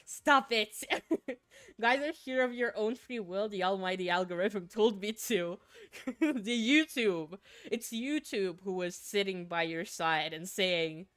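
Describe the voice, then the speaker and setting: A woman's voice: mid-range voice, takes it slowly, fairly bright tone, clear, resonant tone, no echo, studio quality. A woman from Finland, a native Finnish speaker, chatting casually with viewers on a game livestream, giggling and repeating words as she goes. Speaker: streamer.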